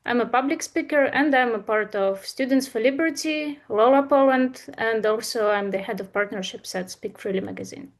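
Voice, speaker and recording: Ukrainian-accented woman giving a talk. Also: on the high side. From Eastern Ukraine. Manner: earnest, with frequent uh and um pauses. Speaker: speaker presenting a talk. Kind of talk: presentation to an online audience.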